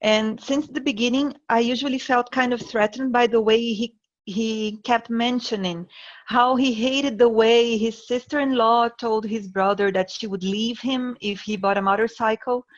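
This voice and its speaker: A woman's voice: on the high side, steady tone, normal speed. A woman from Brazil with a Brazilian Portuguese accent, a non-native English speaker, calling in hesitantly with nervous laughs. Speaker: caller. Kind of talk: casual call-in conversation. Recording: slightly dull call audio.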